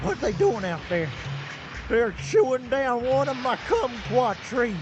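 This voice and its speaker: An actor playing a cantankerous, folksy small-town mechanic with a rural Southern American accent in a comic radio drama. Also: male voice, very high voice, animated, unhurried, dull audio, music in the background. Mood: indignant, feisty. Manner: drawling hillbilly idioms, fussy.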